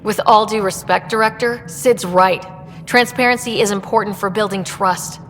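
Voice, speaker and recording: A woman's voice: medium pitch, fairly even intonation, normal speed, fairly bright tone, in a large hall, soft background music, lightly acted. An actress playing a resolute young woman who leads space explorers in a video game. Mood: firm, earnest. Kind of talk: video game dialogue acting.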